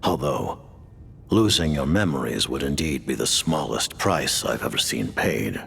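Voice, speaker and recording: A solemn ancient commander in a game cutscene, his voice very deep and gravelly. He rides up and down a lot as he lays things out in slow, weighty pronouncements, with soft music under him. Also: expressive, a little hiss or hum, heavily acted. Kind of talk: video game cutscene voice acting.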